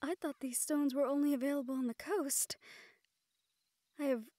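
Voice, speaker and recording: A woman playing a gentle, playfully reproving fantasy sweetheart in a romantic audio roleplay, speaking tenderly in formal phrases. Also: high voice, fairly even intonation, normal speed, no echo, clean studio sound, close to an everyday voice. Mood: soft, earnest. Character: gentle, playfully scolding fantasy woman courting a dragon general.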